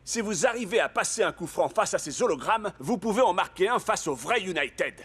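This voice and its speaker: A man's voice: high voice, animated, fairly quick, fairly bright tone, slightly rough, exaggerated voice. A man playing a firm, composed football coach in a dubbed cartoon. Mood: instructive.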